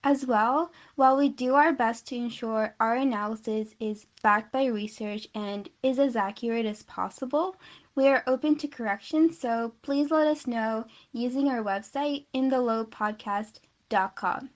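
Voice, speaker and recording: A young woman reads a podcast disclaimer in a somewhat high voice. Her delivery is steady and clearly scripted. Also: moderate pace. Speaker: podcast co-host. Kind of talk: reading a disclaimer.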